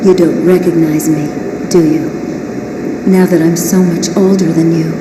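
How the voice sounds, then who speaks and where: A woman's voice: low voice, fairly even intonation, moderate pace, noisy background, lightly acted. A woman playing a melancholy bionic hybrid woman in a video game cutscene. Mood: sorrowful, accusing. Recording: echoey game audio under loud music.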